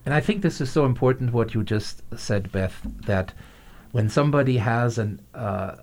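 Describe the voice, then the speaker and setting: A male podcast host with a voice of middling pitch. He talks in a thoughtful way, pausing and restarting as he searches for the right words. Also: lively intonation, a little mellow, a little hiss or hum. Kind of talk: podcast interview.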